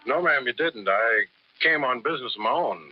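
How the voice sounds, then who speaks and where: An actor playing a scheming, folksy small-town grocer with insinuating talk, in old-time radio style. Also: male voice, mid-range voice, animated, brisk, breathy edge. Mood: ingratiating. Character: scheming, smooth-talking small-town grocer with veiled menace. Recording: muffled old radio recording, heavy noise.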